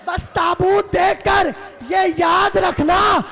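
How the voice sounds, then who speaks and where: A man delivering an impassioned mourning sermon as a religious orator, lamenting dramatically in a strained, wailing voice with drawn-out cries and repeated phrases. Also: extremely high pitch, level tone. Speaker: religious orator reciting Karbala martyrdom narrative to mourners. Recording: loud crowd of mourners behind the voice. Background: crowd of mourners.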